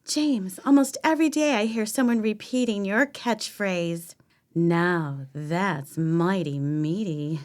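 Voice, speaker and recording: A woman's voice: mid-range voice, slow, darkish tone, natural voice. A woman acting an audio play role as an effusive, breathless, flirtatious superfan of a cooking show. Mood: insistent, admiring.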